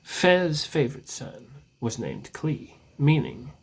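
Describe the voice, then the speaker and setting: Husky man reading with very lively pitch. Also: slow. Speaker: storyteller reading aloud. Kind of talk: amateur storybook narration of a mock legend.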